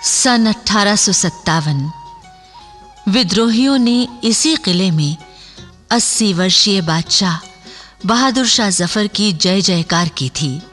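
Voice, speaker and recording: Radio drama announcer, female: measured dramatic narration, slow pace, light music bed. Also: mid-range voice, animated, a bit bright. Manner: measured, solemn, formal.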